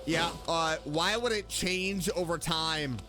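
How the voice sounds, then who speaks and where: A man doing casual live gameplay commentary, expressive, reacting aloud and talking to the characters. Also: a little high, slow, over music. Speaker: game streamer.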